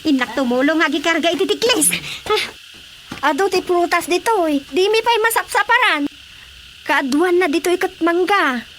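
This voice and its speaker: A woman playing an excitable, exclamatory rural aunt in a radio drama comedy, speaking with an Ilocano accent. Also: extremely high pitch, moderate pace, slight background noise. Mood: chatty, explaining. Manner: animated, exclamatory.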